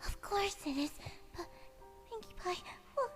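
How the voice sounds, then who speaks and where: A woman voicing a timid, frightened young female cartoon pony, halting and stammering over her words. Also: very high voice, lively intonation. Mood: distressed. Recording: loud music under the voice.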